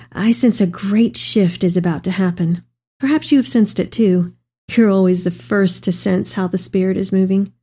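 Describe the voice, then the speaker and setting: Elderly devout mother played by a woman for dramatic letter readings: loving, earnest, measured, warm dark tone. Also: medium pitch, natural voice. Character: elderly Mary, tender devout mother writing to her son.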